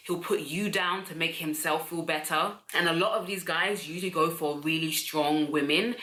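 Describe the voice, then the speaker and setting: A woman's voice: deep voice, sharp tone, echoey room. A woman with a Nigerian accent, talking to camera about a past relationship and listing points with emphasis. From raised in England. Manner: emphatic, lists points in quick clauses. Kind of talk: direct-to-camera personal talk.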